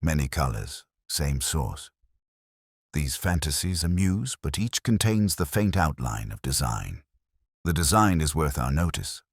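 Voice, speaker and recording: Man voicing a very deep, calm inspector. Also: husky, dry recording, studio quality, full character voice. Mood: calm, musing. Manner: measured, aphoristic. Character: calm, philosophical male police inspector, quietly shrewd.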